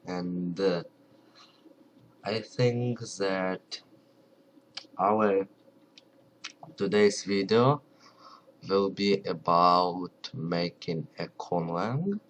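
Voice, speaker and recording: A low-pitched man with a Ukrainian accent talks to camera in English, his second language, at a very slow, careful pace. He keeps his voice soft and often stops to search for words. A faint background noise sits under the recording. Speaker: video creator. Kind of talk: casual talk to camera.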